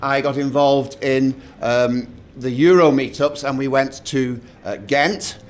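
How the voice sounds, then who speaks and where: Loud middle-aged male co-host. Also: medium pitch, steady tone, takes it slowly. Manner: warm storytelling with restarts and dry jokes. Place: hotel meeting room.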